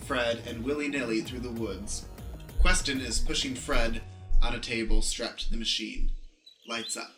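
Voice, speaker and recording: A man's voice: mid-range voice, echoey room. A man narrating an improvised radio play, announcing scenes and stage directions.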